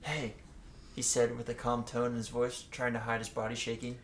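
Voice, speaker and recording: A man's voice: medium pitch, monotone, a little fast. A man reading fan fiction aloud for laughs, playful and often tripping over the words. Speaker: reader, co-host. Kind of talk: comedic read-aloud of fan fiction with joking asides. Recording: roomy echo, faint background noise.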